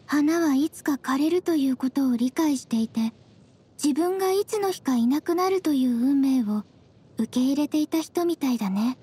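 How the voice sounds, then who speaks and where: Casting: young traveler heroine, anime game, very high girlish pitch, soft-spoken, composed, gently teasing. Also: lively intonation, moderate pace. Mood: gentle, reflective.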